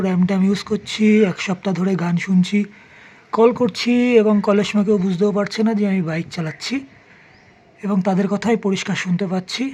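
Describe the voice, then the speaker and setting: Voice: mid-range voice, darkish tone. A vlogger giving a casual hands-on product review, explaining things informally and offering practical advice to the viewer. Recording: clearly noisy ambient background.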